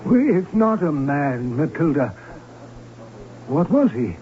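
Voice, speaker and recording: A flustered older Baron from a radio play, blustering and pleading. His voice sits fairly high for a man. It comes through a tinny old radio recording with faint noise. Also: big pitch swings, takes it slowly. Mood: bewildered, indignant. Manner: blustering, sputtering. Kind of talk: old radio drama acting.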